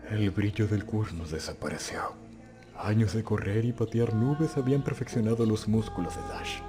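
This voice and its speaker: Low-voiced male narrator over loud music. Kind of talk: scripted dramatic story narration.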